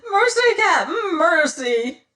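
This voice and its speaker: A storyteller voicing a fat, cowardly pirate boatswain's mate, a man with a high-pitched, squealing voice. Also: sing-song, takes it slowly, fairly bright tone, exaggerated voice. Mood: pleading. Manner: squealing, pleading, gloating.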